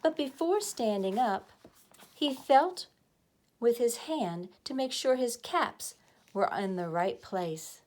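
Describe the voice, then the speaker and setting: A woman reading a children's story aloud in a deliberate, expressive way, drawing out words for young listeners. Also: a little high. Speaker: storybook reader.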